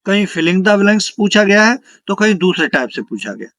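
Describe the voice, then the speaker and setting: A man's voice: high voice, very animated, a little fast, fairly bright tone, no room sound, studio quality. A man teaching an exam lesson, reading out questions and answers and repeating them for emphasis.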